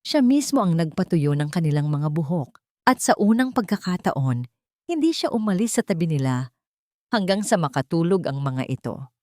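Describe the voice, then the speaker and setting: Low-voiced woman telling a story. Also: big pitch swings, normal speed, warm tone, no echo, studio quality. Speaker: audiobook storyteller. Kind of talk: dramatic scripted narration.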